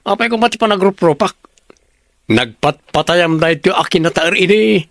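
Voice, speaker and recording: Brash mocking man, high-pitched, leering, insistent, dry recording, radio soap villain. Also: moderate pace, vocal fry, a bit bright, dry recording. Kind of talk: radio drama acting.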